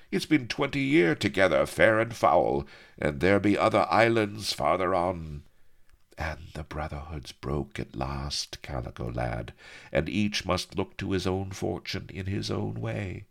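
A big old sea rogue from a storybook, put on by a male narrator. The voice is very deep and swings widely in pitch, with hearty, sentimental fondness and salty seafaring speech. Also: expressive, unhurried, heavily acted. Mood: wistful fond. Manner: rough seafaring dialect, wistful and fond.

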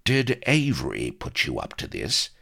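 A man voicing a flustered, pompous official of old England in an audiobook, gruff and archaic in speech. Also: extremely low pitch, huge pitch swings, measured pace, bright, forceful tone, heavily acted. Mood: grudging, grated.

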